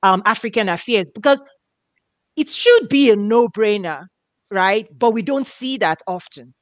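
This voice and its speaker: Animated woman host on a headset. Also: medium pitch, unhurried, no echo. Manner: animated, enthusiastic, gestures while talking. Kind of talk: video call interview. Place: indoors, home office.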